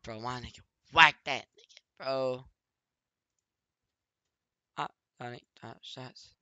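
A man's voice: medium pitch, fairly even intonation, slow, bright, forceful tone, no echo, lo-fi sound. A young man in casual video game roleplay, talking in profane, repetitive street slang. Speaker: roleplay gamer.

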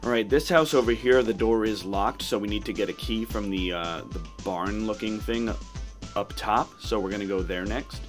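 A man with a fairly low voice talks through a video game. He gives casual walkthrough commentary as host, with music playing behind him. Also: normal speed.

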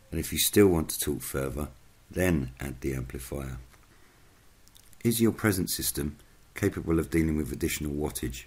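A man reading a scripted explainer narration in a measured, instructional way. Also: extremely low pitch, breathy edge.

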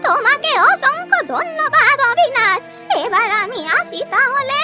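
A woman voicing a scheming old village beggar woman in a cartoon, with a slow, drawn-out, wheedling delivery. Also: extremely high pitch, expressive, full character voice. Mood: grateful, wheedling. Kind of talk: children's cartoon voice acting. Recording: loud music behind the voice.

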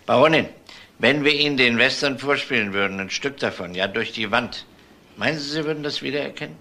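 Bright-voiced, dry police detective. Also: male voice, medium pitch, brisk, slight background noise. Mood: polite, insistent. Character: dry, methodical middle-aged police detective. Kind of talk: German crime radio drama acting.

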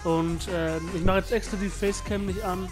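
A young man with a stuffy, congested nose from a cold talks loosely over game footage, dropping fillers and slang as he hosts his channel. Loud music runs under his voice the whole time. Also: high-pitched, steady tone, moderate pace. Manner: laid-back slangy chat with many fillers. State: has a cold, blocked nose. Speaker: gaming channel host. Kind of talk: casual gameplay commentary.

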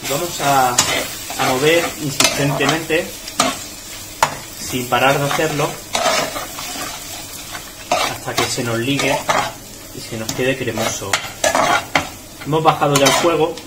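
A man's voice: mid-range voice, takes it slowly, long pauses. A man, explaining a recipe step by step as a chef in a small tiled restaurant kitchen, often checking in with his viewers. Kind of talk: cooking demonstration. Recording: echoey kitchen with frying noise. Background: sizzling pan and kitchen ambience.

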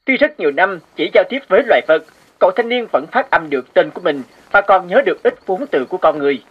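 An actor playing a formal, matter-of-fact television news presenter in a cartoon, reading a scripted report in a husky voice. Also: mid-range voice, animated, fast, highs cut off. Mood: formal, neutral.

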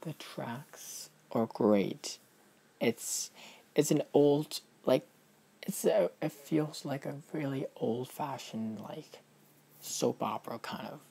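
A man's voice: mid-range voice, takes it slowly, vocal fry, warm tone, husky. A man giving a casual film review in a vlog, emphatic and gushing, often restarting his sentences.

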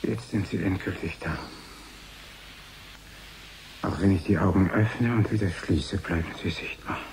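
Casting: deep, brooding, knowing man, radio-play monologue, hushed and unhurried, faint noise behind. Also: rough recording. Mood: resigned. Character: mysterious, knowing man addressing unseen visitors. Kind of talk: intimate radio drama monologue.

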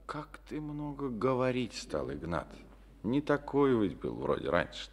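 An actor playing a calm, reserved former colonel now a party secretary, speaking tersely in an old radio play. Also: male voice, mid-range voice, measured pace. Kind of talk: Soviet radio play acting. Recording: old studio radio recording.

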